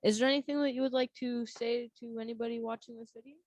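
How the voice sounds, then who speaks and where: A woman's voice: on the high side, steady tone, quick pace, darkish tone, dry recording, highs cut off. A woman hosting a book review show, asking questions in a casual interview style. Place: remote online call.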